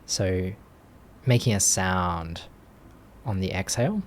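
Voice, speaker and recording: A man leading a guided meditation as a teacher, speaking gently in short phrases with long pauses. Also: low voice, slight background noise. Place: live online group session.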